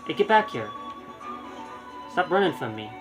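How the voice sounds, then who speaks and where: A man's voice: on the high side, wildly swooping pitch, moderate pace. A young man giving casual live gameplay commentary, rambling and thinking aloud. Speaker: gaming streamer. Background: game music.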